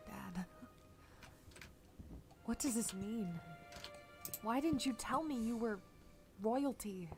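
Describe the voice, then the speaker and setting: A woman playing a grieving daughter who speaks intimately and wistfully to her diary and parents in an audio drama. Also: a little high. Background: music.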